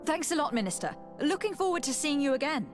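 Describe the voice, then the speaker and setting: A woman's voice: sits high, big pitch swings, quick pace, faint music behind. A woman playing a composed, courteous noble diplomat in a video game, speaking politely and formally. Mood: diplomatic, pointed. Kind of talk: video game dialogue acting.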